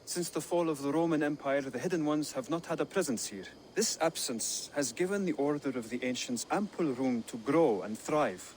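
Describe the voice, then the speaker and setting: A man's voice: fairly high pitch, expressive, moderate pace, hardly any pauses, slight background noise, natural voice. An actor playing an earnest young assassin in a video game, explaining his creed formally and solemnly. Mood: solemn, fervent. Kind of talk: dramatic video game cutscene acting.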